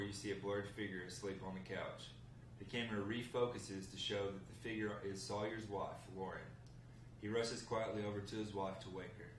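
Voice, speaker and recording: A man reading scene descriptions and camera directions as scripted narration at a table read. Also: lowish voice. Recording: echoey room, distant mic, faint noise.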